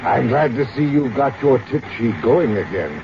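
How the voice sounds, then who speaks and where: An actor playing a genial, patronising gentleman doctor in an old radio drama, with an avuncular, genteel manner. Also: mid-range voice, animated, moderate pace, natural voice. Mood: jovial. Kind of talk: mid-century radio drama acting. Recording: noisy, dull vintage radio recording.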